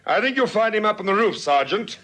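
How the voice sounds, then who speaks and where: An actor playing a domineering police lieutenant in a vintage radio drama, commanding and clipped. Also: male voice, sits high, lively intonation, fairly quick, pushed and bright. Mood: dry, confident. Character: stern, domineering male police lieutenant questioning suspects. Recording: noisy old radio drama recording.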